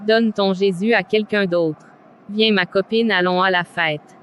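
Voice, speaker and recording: A performer playing a pushy, dismissive young woman headed out partying, in a scripted animated drama. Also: mid-range voice, unhurried, close to an everyday voice. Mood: scornful. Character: brash young female party-goer, mocking, pushy. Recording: clearly noisy background.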